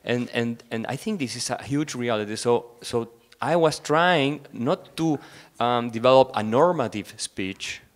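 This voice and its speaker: A man speaking as an expert panelist, brisk and conciliatory, with a way of speaking. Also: mid-range voice. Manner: brisk, conciliatory, with light laughs. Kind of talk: panel debate.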